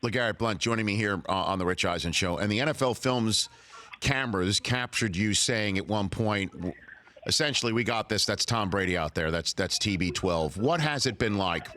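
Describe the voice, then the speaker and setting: A man's voice: fairly low pitch, normal speed, bright, forceful tone. A man hosting a sports talk show, asking eager questions and often repeating words as he starts them. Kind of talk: radio interview.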